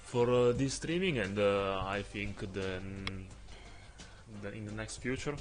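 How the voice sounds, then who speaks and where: A man from Italy with an Italian accent, commenting on his own football video game stream in English, his second language, pausing often with fillers as he searches for words. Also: on the low side, soft background music.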